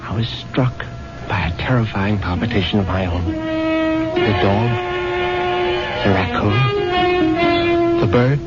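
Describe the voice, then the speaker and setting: An actor playing a haughty, jittery widower narrating a 1970s radio drama in an urbane, hesitant manner. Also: male voice, fairly low pitch, sing-song, takes it slowly, pauses often. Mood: brooding, smug. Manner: urbane, hesitant, exasperated with servants. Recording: dull old radio broadcast with music.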